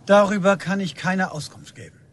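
High-pitched actor as stiff village policeman. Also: steady tone, normal speed, close to an everyday voice. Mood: dutiful, stiff. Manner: clipped, official, deferential. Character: dutiful village police sergeant, stiff and deferential. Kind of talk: radio drama dialogue.

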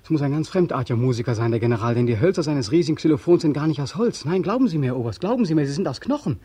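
An actor playing a weary, haunted ex-soldier in a 1940s radio drama, pleading and obsessively repeating himself. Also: male voice, a little high, lively intonation, racing, mellow, husky, no room sound. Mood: haunted, insistent. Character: weary, haunted ex-soldier back from war, insistent.

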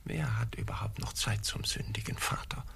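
An actor playing a weary, officious and bribable patent office director in a satirical radio play, with a pompous bureaucratic manner. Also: male voice, fairly low pitch, normal speed, creaky, a bit bright, slightly rough, no echo, in a whisper, exaggerated voice. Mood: weary, grumbling.

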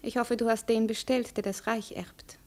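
An actress playing a proud young princess, measured, imperious and quietly intense, in a 1950s German radio drama. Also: female voice, on the high side, steady tone, close to an everyday voice. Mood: dry irony.

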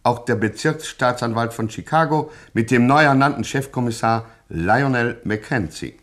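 An actor playing a solemn, pompous, tongue-in-cheek narrator of a satirical gangster radio play. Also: male voice, mid-range voice, normal speed, a bit bright, natural voice. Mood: mock solemn. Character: grandiose mock-epic narrator of a gangster satire.

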